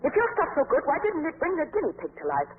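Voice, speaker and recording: An actress playing a scheming, bitter young heiress in theatrical, sharp-tongued 1940s radio drama style. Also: female voice, high-pitched, huge pitch swings, quick pace. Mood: scornful. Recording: noisy, tinny 1940s radio recording.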